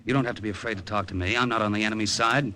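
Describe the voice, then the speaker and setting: Low-voiced wry American gambler. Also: male voice, fairly even intonation, racing, sharp tone. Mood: affable. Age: 40s. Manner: laconic, breezy, conversational. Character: wry, easygoing middle-aged American gambler narrating his story. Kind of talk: 1950s radio drama acting. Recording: vintage radio drama recording.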